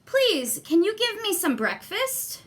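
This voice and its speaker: A woman's voice: very high voice, big pitch swings, takes it slowly, a bit bright, pitched above the performer's own voice, exaggerated voice. A woman voicing a bold, polite young boy in a storybook, earnest and pleading. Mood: eager, pleading.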